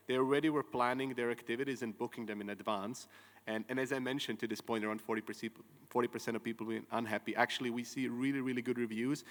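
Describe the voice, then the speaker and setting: A man in his thirties from Croatia gives a keynote on stage, speaking earnestly through a stage mic. His voice sits at a middling pitch, and long reverb trails off the hall walls around him. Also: a bit bright. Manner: earnest, frequent "uh" and restarts. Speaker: company founder and CEO. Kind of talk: conference keynote talk. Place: large conference hall.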